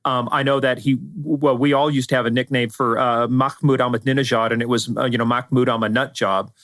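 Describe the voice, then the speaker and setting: A man's voice: mid-range voice, flat delivery, a little fast, a bit bright, no room sound. Middle-aged American man, emphatic security expert. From United States, spent part of childhood in Iran. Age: middle-aged or older. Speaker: security expert guest, former law enforcement advisor. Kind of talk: conversational interview answers.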